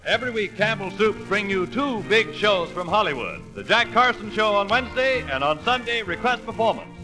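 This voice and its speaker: A man's voice: sits high, lively intonation, fairly quick, music in the background, poor audio. A male announcer reading scripted commercial copy in a folksy, salesmanlike 1940s radio style. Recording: vintage 1940s radio broadcast.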